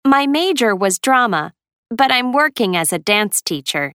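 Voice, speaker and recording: A woman's voice: fairly high pitch, very animated, unhurried, bright, forceful tone, smooth, no room sound, clean studio sound, full character voice. A woman in her twenties reading the lines of a young dance teacher plainly in a scripted textbook dialogue. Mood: calm. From United States.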